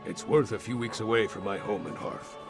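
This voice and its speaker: An actor playing a weary, devout Nord jarl who speaks somberly about duty in a video game cutscene. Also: male voice, lowish voice, brisk, vocal fry, over music. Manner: somber, dutiful.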